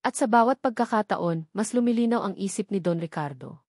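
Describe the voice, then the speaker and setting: A woman's voice: mid-range voice, lively intonation, brisk, a little mellow, slightly rough, no echo. Filipino woman narrating drama, dry close sound. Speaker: story narrator. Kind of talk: scripted dramatic narration of a romance drama story.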